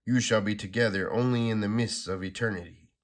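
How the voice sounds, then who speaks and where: A man's voice: on the low side, fairly even intonation, a little fast, lightly acted. A man reading a comic aloud, voicing a cold, menacing cosmic villain with pompous, archaic threats.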